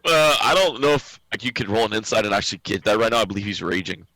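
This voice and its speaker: A low-pitched man runs the table as game master, calling out turns and wounds in a relaxed, joking way. His voice is close and dry, with no room sound around it. He laughs easily between rulings. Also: fast, no room sound, poor audio.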